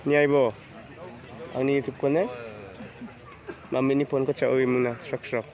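Man, casual phone vlogger outdoors, medium pitch, easygoing chatter. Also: flat delivery, normal speed, darkish tone. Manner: chatty, greets people as he walks. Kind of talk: casual walking vlog. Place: outdoors at a rural fishing pond fair. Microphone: handheld phone camera.

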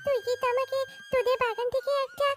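An earnest little animal girl from a children's cartoon, played by a woman. Her voice is extremely high and childlike, with a pleading way of talking. Music plays underneath. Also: flat delivery, quick pace. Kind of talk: cartoon voice acting. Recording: music behind the voice.

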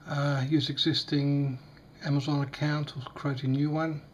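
A man with a slightly croaky voice, demonstrating a device in a casual, hesitant way with many fillers. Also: a little high, flat delivery, measured pace, a little hiss or hum. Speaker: tech unboxing vlogger. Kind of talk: casual product demo. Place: small indoor room at a table.

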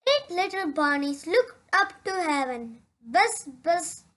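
A very high, bright young voice reads a picture book aloud as storyteller. The reading is very slow and lively, with sound words exclaimed dramatically.

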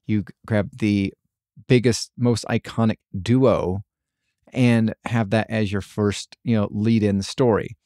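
Deep-voiced man rambling on a podcast. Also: fairly even intonation, dark tone, no room sound, studio quality. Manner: halting, rambling. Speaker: podcast host. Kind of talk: casual solo podcast commentary.

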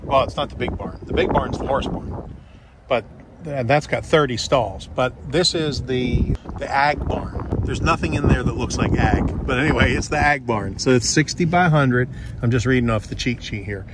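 A man's voice: medium pitch, normal speed, heavy background noise. A man working as a real estate agent, talking casually and jokily through an unscripted walkthrough tour. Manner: folksy, offhand, jokey.

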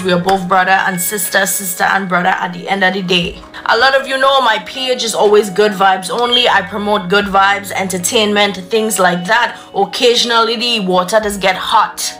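A woman's voice: medium pitch, moderate pace, few pauses, a bit bright, soft background music. A young woman talking straight to her viewers from a room, in an earnest, preachy way. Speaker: content creator. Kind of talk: casual talk to camera. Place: indoor room. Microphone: phone at arm's length.

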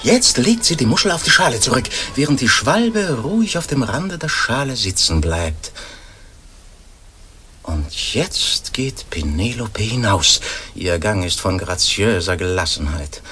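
Animated, formal male commentator. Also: fairly low pitch, measured pace, a little hiss or hum, full character voice. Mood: excited, admiring. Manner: formal, suspenseful, ornate. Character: learned doctor commentating solemnly on a silent scene. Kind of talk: live commentary in a radio play.

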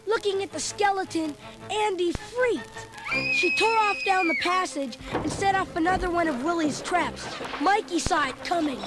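A woman's voice: very high voice, moderate pace. A woman voicing a chubby, food-loving boy who narrates a storybook record with chatty, comic asides. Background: music.

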